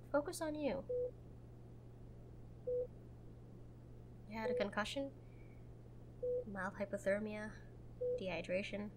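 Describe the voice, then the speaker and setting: Woman acting a high-voiced, protective, prickly girlfriend. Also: slight background noise, poor audio. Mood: relieved, gruff. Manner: tender but bossy, halting when vulnerable. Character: protective, prickly young woman, frightened for her injured lover. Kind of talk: girlfriend roleplay audio drama.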